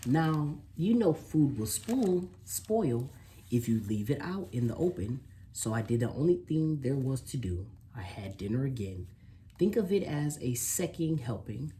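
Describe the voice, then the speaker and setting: A reader playing a sly storybook wolf, mock-innocent, lively swooping pitch, mellow tone, faint noise behind. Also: a little slow. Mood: self-justifying. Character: sly, self-excusing wolf telling his own story. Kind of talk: children's picture book read aloud.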